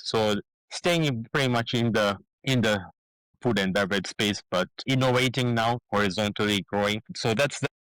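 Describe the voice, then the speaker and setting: Middle-aged man from Kenya, low voice, halting guest, dry close sound. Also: fairly bright tone, no echo, poor audio. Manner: hesitant, many fillers and restarts. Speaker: guest, business founder. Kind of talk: casual interview talk.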